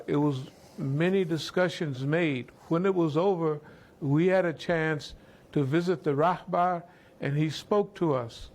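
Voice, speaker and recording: A man with a fairly high voice speaks as an imam on a discussion panel. He talks slowly and deliberately, weighing each phrase, with steady pauses between thoughts. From United States. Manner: slow, measured, deliberate. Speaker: imam answering questions.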